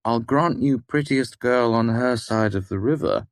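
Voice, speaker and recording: A man voicing a wry, guarded young student hero in an audiobook, speaking in a measured way. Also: mid-range voice, no echo, studio quality, voice pushed up, close to an everyday voice. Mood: defensive.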